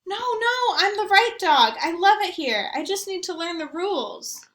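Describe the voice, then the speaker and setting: A woman voicing an eager, naive, mischievous young dog telling his own picture-book story in an expressive, earnest way. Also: very high voice, a little slow, roomy sound, voice pushed up, exaggerated voice. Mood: anxious. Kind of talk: first-person picture book narration.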